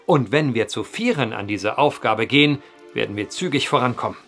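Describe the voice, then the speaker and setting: Male, methodical eccentric gentleman of letters for radio play; formal, precise, determined, mid pitch, faint music underneath. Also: lively intonation, a little fast, creaky. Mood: determined, explanatory. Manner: formal, precise, old-fashioned eloquent diction. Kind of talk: German audio drama acting.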